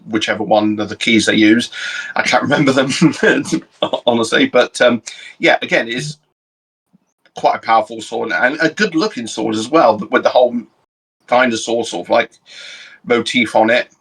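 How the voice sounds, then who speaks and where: A young man talks through his choices on a casual podcast. His voice is mid-pitched for a man. He is measured and thoughtful, and he takes his time to explain. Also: fairly bright tone, husky, low-quality recording. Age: young adult. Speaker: co-host.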